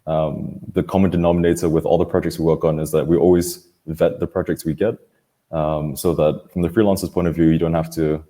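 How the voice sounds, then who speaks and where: A man's voice: rumbling low, warm tone. A man hosting an online panel in a casual, informal way, speaking somewhat hesitantly on a video call. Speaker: host of an online panel webinar. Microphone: computer video call.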